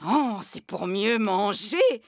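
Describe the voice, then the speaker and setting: A woman's voice: medium pitch, expressive, takes it slowly, dry recording, studio quality. A woman voicing a cheerful, motherly cartoon wolf with a playful, theatrical storybook delivery. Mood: playful, affectionate. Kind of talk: children's cartoon dubbing. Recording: loud music behind the voice.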